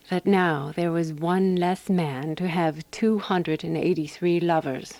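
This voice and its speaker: A woman actor playing a composed, wry woman who narrates a radio drama in a measured, dry manner. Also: fairly low pitch, darkish tone, no room sound. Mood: dry, satisfied.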